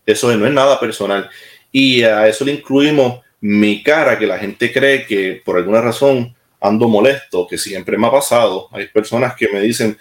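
A man's voice: fairly low pitch, normal speed. A man from Puerto Rico with a Puerto Rican Spanish accent, talking as an interview guest in a direct, plain-spoken way with hesitant restarts. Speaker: military musician, interview guest. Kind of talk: casual interview talk. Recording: echoey room.

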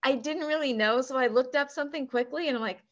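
A chatty woman with a high, youthful voice who talks in quick rambling bursts and restarts her sentences often. The sound is a little muffled and lo-fi, as if heard over a weak call. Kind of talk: casual chat.

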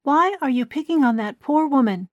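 A woman voicing a hot-tempered, blunt young woman who talks in a sharp, exasperated way in an audiobook. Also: high-pitched, expressive, normal speed, no echo, dull audio, voice pushed up. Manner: sharp, exasperated, name-calling. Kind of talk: audiobook character voice.

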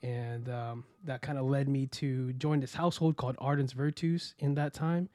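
A young American man telling his story as a podcast guest, relaxed and rambling with many fillers and restarts. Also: medium pitch, normal speed, no room sound. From United States. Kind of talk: casual interview chat.

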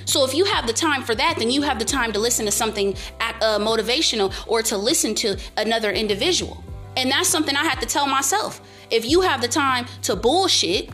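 A young woman vlogger giving motivational life advice in a loud, emphatic, repetitive way. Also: sits high. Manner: emphatic, preachy, repeats herself, speaks up loudly. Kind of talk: casual motivational monologue to camera.

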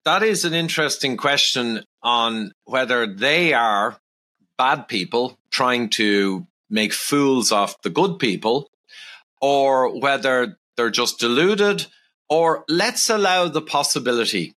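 Calm Irish man with a lively voice. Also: medium pitch. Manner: calm, measured, reasonable. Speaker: podcast guest. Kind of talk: casual podcast conversation.

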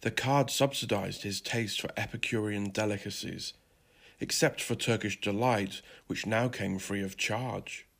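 A man's voice: lowish voice, a little slow, creaky, fairly bright tone, breathy edge. A man reading scripted literary fiction as a narrator.

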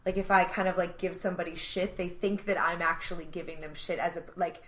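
A young adult woman co-hosting a podcast in casual chat, animated and self-described dramatic, with lots of fillers. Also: fairly low pitch, a little fast. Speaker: podcast co-host.

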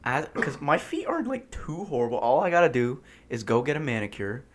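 A young man in his twenties, co-hosting a podcast in animated, joking casual chat. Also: medium pitch, brisk. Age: 20s. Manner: animated, jokey, says bro a lot. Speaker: podcast co-host.

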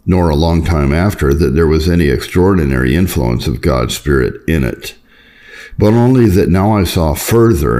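A man reading an old religious memoir aloud as an audiobook narrator, in a solemn, measured and formal way. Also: low voice, warm tone. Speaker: audiobook reader. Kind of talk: scripted reading of a Puritan memoir.

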